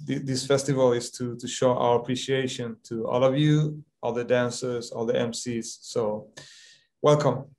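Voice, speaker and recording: A man hosting a panel chat, welcoming the guests in a halting way with many restarts. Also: mid-range voice, darkish tone. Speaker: host. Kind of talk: casual hosting, hesitant.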